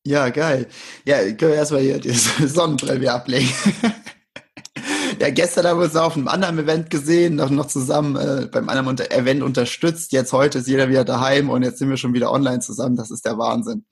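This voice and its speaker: A man hosting a casual interview podcast, enthusiastic and quick to laugh, using casual slang. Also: medium pitch, steady tone, vocal fry, husky. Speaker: podcast host.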